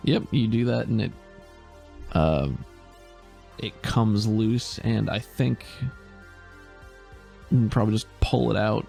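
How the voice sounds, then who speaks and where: A man running a tabletop game with a low, gravelly voice. He talks in a relaxed, halting way, full of fillers and restarts. Faint music plays behind him. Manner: relaxed, hesitant, thinks aloud with fillers. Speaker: game master.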